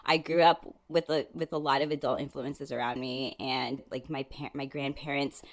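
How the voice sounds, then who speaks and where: A woman's voice: a bass voice, steady tone, normal speed. A middle-aged woman from Brooklyn, a podcast guest, telling her life story earnestly in a conversational way, with many casual fillers. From Brooklyn, New York. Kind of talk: conversational interview.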